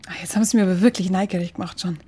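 A woman's voice: fairly low pitch, steady tone, racing, dark tone, a little hiss or hum, lightly acted. An actress playing a curious, chatty, down-to-earth German woman in a radio drama. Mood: eager, coaxing. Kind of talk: informal radio drama dialogue.

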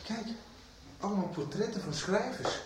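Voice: medium pitch, animated, noisy background, lightly acted. An actor playing a nervous, hesitant young man in a 1990s radio soap. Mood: curious. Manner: hesitant, halting.

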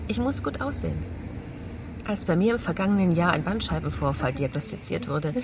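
A woman's voice: on the low side, expressive, a little fast. A woman reading a translated interview as a documentary voice-over. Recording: noisy vehicle ambience behind. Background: vehicle noise.

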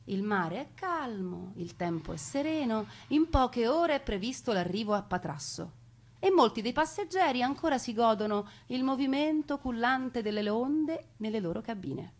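A woman narrating a scripted stage story in a theatrical, deliberate way with conversational asides. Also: fairly high pitch, animated. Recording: music behind a radio theatre performance.

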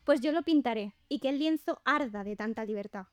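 Audio drama casting: actress for bold, affectionate, defiant woman painter. Slightly high voice, emphatic delivery, close dry sound. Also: fairly quick, no echo, close to an everyday voice. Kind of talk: scripted audio drama dialogue.

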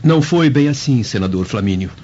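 An actor playing a sly, insinuating servant with false humility in a Brazilian radio drama, with a Brazilian accent. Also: male voice, mid-range voice, expressive, brisk, mellow, lo-fi sound, close to an everyday voice. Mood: sly, deferential. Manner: deferential, insinuating.

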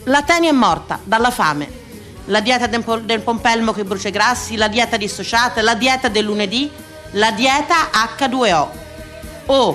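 An actress playing a wry, self-mocking woman eternally on a diet, declaiming in a comic theatrical radio monologue with dramatic pauses. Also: a little high, fairly bright tone. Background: music.

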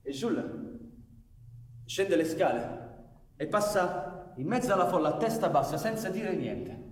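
A man's voice: sits high, sing-song, measured pace, far from the mic. An actor playing an earnest working-class factory man and father, telling of hardship in a dramatic theatrical radio monologue. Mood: subdued, grave. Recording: echoey hall with live music behind.

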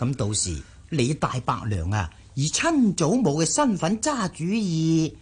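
A man playing a callous, self-important, lecherous older uncle in a Cantonese radio drama, with a raspy, drawling delivery. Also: fairly high pitch, huge pitch swings, unhurried, exaggerated voice. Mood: callous, conniving.

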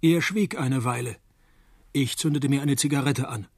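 An actor playing a cynical, wisecracking male private detective in a radio play, narrating and talking in a dry, laconic deadpan. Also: mid-range voice, moderate pace, husky, dry recording, natural voice. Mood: dry, sardonic.

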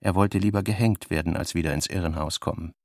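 An actor playing a brooding novelist, reading literary prose and working notes in a deliberate, clipped manner for a radio play. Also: male voice, deep voice, a little mellow, dry recording, studio quality. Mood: sober, grave.

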